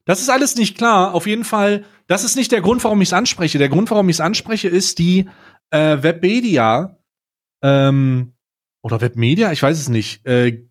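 Male podcast co-host, slightly high voice, casual and emphatic discussion style. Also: moderate pace.

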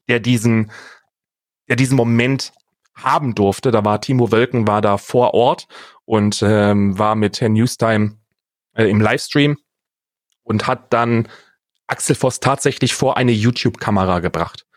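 A man's voice: mid-range voice, no echo, clean studio sound. A young man talking casually and with strong opinions as a podcast co-host, repeating phrases for emphasis.

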